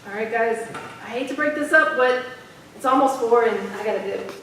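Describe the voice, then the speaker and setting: A woman's voice: a little high, normal speed, fairly bright tone, natural voice. A woman playing a young activist who raps, in a staged reading recorded from a distance in a hall. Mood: casual. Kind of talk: staged reading, part report part casual dialogue. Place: theater stage at a live reading. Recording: echoey hall from far, faint noise.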